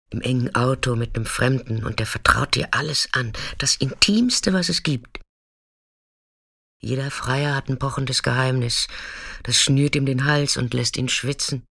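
A woman's voice: rumbling low, fairly bright tone, dry recording, studio quality, exaggerated voice. An actress playing a tough, defiant street sex worker, telling her story in a blunt, colloquial radio drama monologue. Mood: frank, nostalgic. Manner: colloquial, blunt, swinging from intimate recollection to agitated outbursts.

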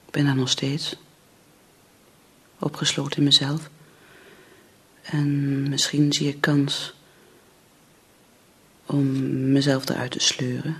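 An actress playing a desperate, frightened alcoholic woman in a radio drama, with a husky, breathy voice and halting, broken phrases. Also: very deep voice, completely flat delivery, full character voice. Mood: despairing, halting. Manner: halting, broken phrases, often near whispering.

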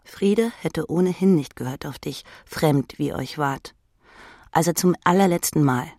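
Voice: medium pitch, measured pace, a little mellow, no echo, studio quality. A narrator reading literary fiction in the second person, in a dramatic manner. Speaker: audiobook narrator.

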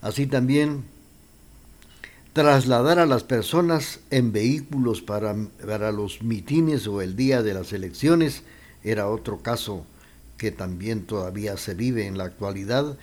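A man with a Guatemalan accent hosting a radio show, talking between songs in a relaxed, conversational way with thinking pauses. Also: fairly low pitch. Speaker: radio host.

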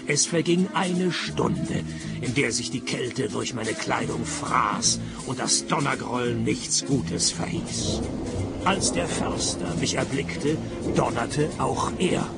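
An actor playing a grumpy, world-weary private detective in a radio play, dry and sarcastic. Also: male voice, medium pitch, takes it slowly, vocal fry, over loud music. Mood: wry narration. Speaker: lead character and narrator. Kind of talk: radio play dialogue and first-person narration.

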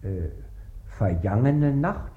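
An actor playing a servile, plodding village constable in a radio play, submissive and clipped. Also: male voice, mid-range voice, very animated, slow pace. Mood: dutiful. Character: servile, slow-witted lowly male village constable. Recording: dull, roomy old radio recording.

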